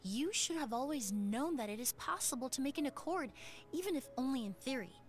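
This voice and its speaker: A composed young perfumer. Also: female voice, high-pitched, fairly quick. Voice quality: calm, composed. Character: calm young woman perfumer, a composed investigator. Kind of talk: video game voice acting. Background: game music.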